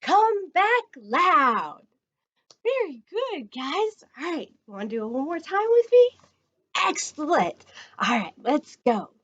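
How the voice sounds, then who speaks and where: Casting: American woman as children's storytime reader, very slow and encouraging, widely swooping pitch, dull lo-fi sound. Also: big pitch swings, fairly bright tone, no echo. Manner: sing-song, encouraging, addresses children directly. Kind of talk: children's read-aloud and counting rhymes. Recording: dull lo-fi audio.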